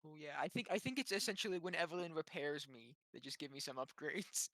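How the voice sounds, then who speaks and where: A man's voice: a little high, brisk, sharp tone, no room sound. A man chatting casually as a player in a tabletop game session.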